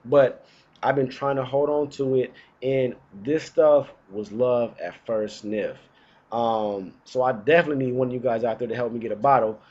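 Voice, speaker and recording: A man's voice: mid-range voice, steady tone, moderate pace, darkish tone, muffled recording. Laid-back man fighting off a cold. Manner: laid-back, chatty, slangy. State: getting over a cold. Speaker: fragrance reviewer. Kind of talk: casual vlog review. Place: indoors, small room.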